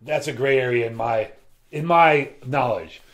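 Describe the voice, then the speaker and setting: A man in his thirties co-hosting a beer review from a small shelf-lined room. He explains things in an even, measured way with a dry touch of humor. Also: medium pitch. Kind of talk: casual chat.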